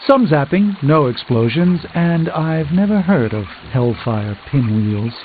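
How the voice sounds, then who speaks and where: An actor voicing an urbane, proud middle-aged sorcerer in a video game, speaking with eloquent, theatrical dry wit. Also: male voice, on the high side, lively intonation, unhurried, a little hiss or hum. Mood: wryly amused. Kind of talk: scripted video game dialogue. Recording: thin phone-like band, loud music behind.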